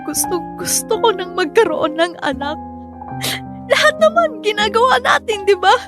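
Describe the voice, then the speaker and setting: A wistful young Filipino wife in a radio drama has a high, plaintive voice. She is emotive and easily discouraged, with clear music running beneath her. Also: animated, moderate pace. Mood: discouraged, frustrated. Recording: music bed under the voice.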